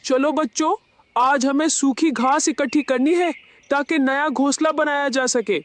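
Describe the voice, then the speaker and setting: A woman's voice: high-pitched, measured pace, pitched above the performer's own voice. A woman voicing a strict, no-nonsense mother bird in a children's cartoon, instructing and scolding. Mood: brisk. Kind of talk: Hindi children's cartoon dub.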